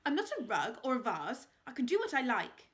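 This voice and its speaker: A haughty, easily outraged lady of the manor who snaps her lines, as a woman reader puts her on. She speaks at about the reader's own pitch but far louder and harder. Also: big pitch swings, brisk. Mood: snapping. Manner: snappish, outraged. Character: haughty, indignant aristocratic lady, middle-aged.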